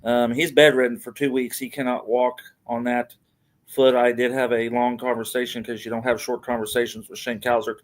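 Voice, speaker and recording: A middle-aged man hosting a podcast, casually reading out wrestling news and announcements in a halting, hesitant way. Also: mid-range voice, little pitch movement. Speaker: podcast host.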